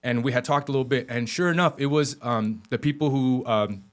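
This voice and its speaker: A man's voice: mid-range voice, a little fast. Rambling, hesitant male podcast host. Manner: rambling, hesitant, many fillers. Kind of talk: podcast interview.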